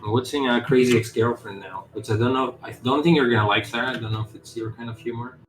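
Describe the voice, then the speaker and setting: A man's voice: medium pitch, fairly even intonation, fast talker, roomy sound, soft background music, rough recording. A man game developer chatting casually on a live stream, rambling with lots of "like" fillers and the odd swear word.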